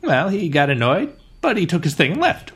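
Actor as gruff, raspy, swooping catering worker. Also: male voice, fairly high pitch, huge pitch swings, fairly quick, fairly bright tone, exaggerated voice. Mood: grumbling, chatty. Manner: uneducated colloquial grammar. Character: gruff, impatient working-class catering worker. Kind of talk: parody of 1940s radio drama.

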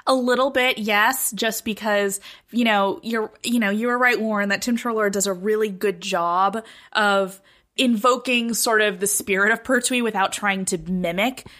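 Very bright-voiced woman co-hosting a podcast. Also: mid-range voice, steady tone, moderate pace. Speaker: podcast co-host. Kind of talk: casual panel chat.

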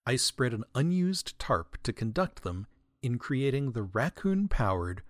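A man hosting a comedy podcast in a wry monologue, deadpan and mock-grandiose, with florid, wordy phrasing. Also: medium pitch, unhurried, dry recording, studio quality. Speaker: podcast host, writer and audio editor. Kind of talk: wry comedic monologue, answering listener mail.

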